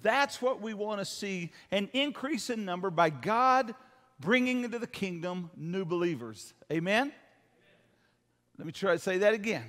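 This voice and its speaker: High-pitched older pastor in a reverberant hall. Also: male voice, animated, moderate pace, fairly bright tone. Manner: folksy, emphatic, seeks amens from listeners. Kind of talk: sermon to a congregation. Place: church hall, speaking from the stage.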